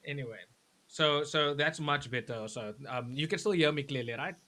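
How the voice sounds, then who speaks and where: Loud man hosting a live show, higher voice, chatty, keeps restarting sentences. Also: moderate pace. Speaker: host. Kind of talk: casual discussion on a live show.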